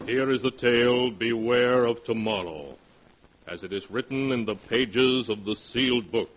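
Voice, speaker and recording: An actor playing an ominous narrator in a 1940s radio drama, speaking slowly and sonorously. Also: male voice, mid-range voice, close to an everyday voice. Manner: slow, sonorous, drawn-out delivery. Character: ominous male narrator of dark tales. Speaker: narrator, keeper of the book. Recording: old radio broadcast, faint hiss, long reverb.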